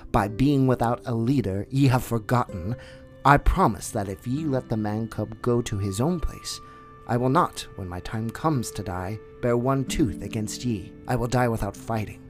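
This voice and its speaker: A man's voice: mid-range voice, normal speed, lightly acted. A man voicing an old, weary male wolf who leads the pack, speaking with solemn dignity over faint music. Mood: resigned.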